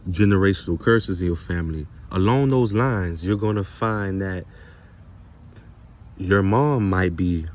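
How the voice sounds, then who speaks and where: A man's voice: deep voice, a little slow, a little mellow. A man giving an informal, slangy spiritual monologue in an emphatic, repetitive manner, often posing rhetorical questions. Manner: casual and slangy with some swearing. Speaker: spiritual content creator and speaker.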